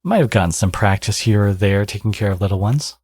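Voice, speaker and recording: A man playing a smug, teasing supervillain and doting new father in an intimate ASMR audio roleplay, murmuring playfully and cockily in a breathy, gravelly voice. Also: on the low side, expressive, a little fast, darkish tone, no echo, studio quality. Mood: teasing, reassuring. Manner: playful, cocky, murmuring to a lover.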